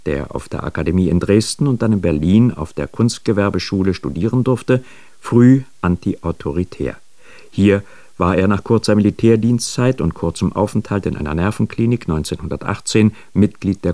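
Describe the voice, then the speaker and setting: Low-voiced man reading a scripted biography. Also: dark tone, no room sound. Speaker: radio presenter of a biographical introduction.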